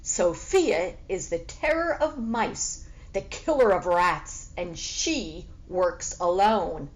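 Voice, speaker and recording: A haughty, sarcastic she-cat from a children's story, acted out by a woman reader. She is boastful and sassy, with a very swooping, animated delivery that savours its own importance. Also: on the low side, slow, low-quality recording. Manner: sassy, grandly refers to herself in third person.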